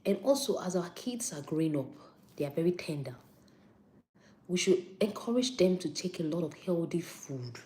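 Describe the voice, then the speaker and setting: Nigerian woman, young adult, low voice, live-stream health talk, chatty, repeats herself for emphasis. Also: big pitch swings, normal speed, dark tone. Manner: chatty, repeats points for emphasis, answers viewer comments.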